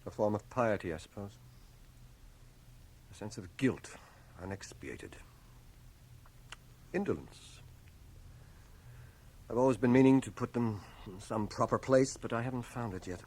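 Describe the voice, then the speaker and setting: An actor playing an aging, guilt-ridden bachelor schoolmaster in a radio drama, deliberate, literate and earnestly moralizing. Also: male voice, fairly low pitch, animated, a little mellow. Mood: guilty, brooding. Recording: cassette transfer of 1980 radio drama.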